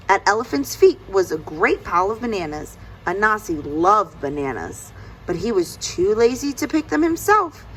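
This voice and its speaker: A woman narrating a children's story aloud in an expressive storytelling style. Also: mid-range voice, slight background noise, rough recording. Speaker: storybook reader.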